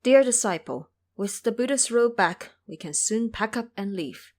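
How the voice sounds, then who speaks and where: A woman voicing a gentle, earnest male monk master who speaks politely and a little fretfully, in an audio drama. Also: a little high, animated, moderate pace, natural voice. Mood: pleased.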